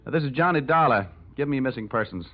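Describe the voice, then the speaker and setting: An actor playing a wisecracking, hard-boiled private investigator in an old radio drama, with a wry deadpan delivery. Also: male voice, medium pitch, fast, lightly acted. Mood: brisk, sardonic. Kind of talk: old-time radio detective drama, narrated and acted. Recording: muffled vintage radio recording with music.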